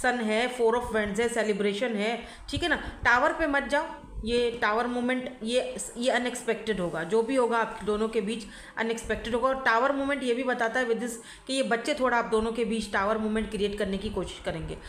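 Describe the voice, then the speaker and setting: A woman giving a casual tarot reading, hoarse from a sore throat and flu, chatty and often checking in with her listener while mixing in English words. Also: on the high side, normal speed, fairly bright tone. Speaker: tarot reader. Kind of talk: casual pick-a-card reading to camera. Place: indoors at home by a window. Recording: echoey room.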